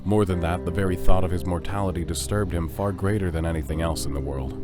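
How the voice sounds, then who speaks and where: A man's voice: low-pitched, fairly even intonation, fast, over music. A man reading scripted narration for a fantasy audio drama.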